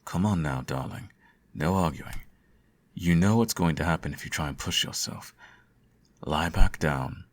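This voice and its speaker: A man playing a teasing, doting supervillain in an intimate ASMR roleplay, suave and coaxing, with a gravelly, husky voice. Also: low-pitched, unhurried. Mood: firm, soothing. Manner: suave, theatrical, coaxing, uses pet names. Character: teasing, doting male supervillain nursing his hero nemesis.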